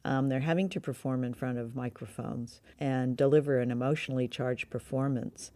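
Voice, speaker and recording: Female co-host, mellow tone, dry studio sound, informative but casual chat. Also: extremely low pitch, fairly even intonation, normal speed, no room sound. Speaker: co-host of an old-time radio review show.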